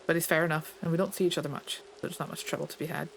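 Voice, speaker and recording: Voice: medium pitch, steady tone, rapid-fire, vocal fry, fairly bright tone, slight background noise. A player reading a plainspoken Scottish stable master. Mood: guarded. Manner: folksy and understated.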